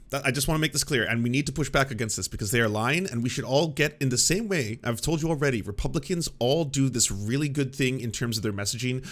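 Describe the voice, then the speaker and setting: Male streamer, mid-pitched voice, mocking and rambly, reacts to clips live. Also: a bit bright. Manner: sarcastic, mocking, rambling with fillers. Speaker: streamer and political commentator.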